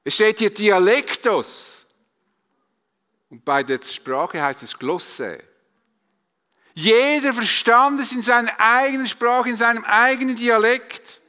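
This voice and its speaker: A man's voice: extremely high pitch, animated, slow pace, bright, forceful tone. A man with a Swiss German accent preaching a sermon to a congregation, emphatic, with rhetorical repetition and questions. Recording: long hall reverb.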